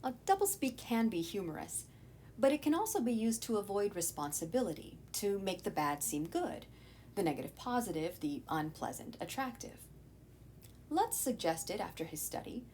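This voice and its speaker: Female teacher for lesson narration, very lively intonation, explanatory and direct to students, some background noise with rumble. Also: moderate pace. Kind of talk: scripted educational narration.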